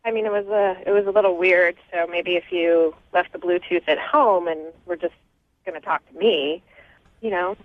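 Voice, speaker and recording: A woman's voice: on the low side, fairly even intonation, moderate pace. A woman calling a radio show by phone, chatting casually and a bit hesitantly over a thin line. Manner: hesitant, conversational. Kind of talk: casual chat. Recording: tinny narrow phone line.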